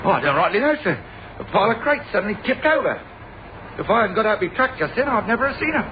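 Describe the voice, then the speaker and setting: Excited, high-pitched male truck driver. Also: fast. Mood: alarmed concern. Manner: excited, deferential. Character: startled, deferential truck driver at a goods yard. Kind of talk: radio drama dialogue. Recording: thin phone-like audio with noise.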